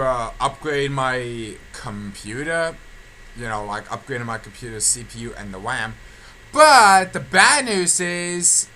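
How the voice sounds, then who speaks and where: A young man giving a casual audio update, halting, repetitive and complaining. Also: medium pitch, pushed and bright. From England. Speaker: video creator giving channel updates. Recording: clear background noise.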